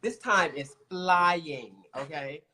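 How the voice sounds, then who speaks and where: A man around fifty with a fairly high voice co-hosts a casual livestream over a video call. He sounds nasal from a head cold, with a playful, expressive delivery. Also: slow pace. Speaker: co-host.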